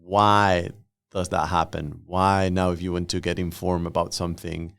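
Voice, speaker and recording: A man hosting a podcast and interviewing guests in a casual, conversational way, with a gravelly voice. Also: low-pitched, little pitch movement, normal speed, fairly bright tone.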